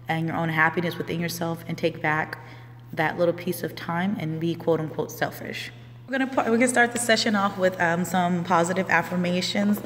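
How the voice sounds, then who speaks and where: A woman's voice: fairly low pitch, long reverb tail, slight background noise. A woman, a life coach talking casually and loosely on a live stream, with many ums and restarts. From Houston, Texas.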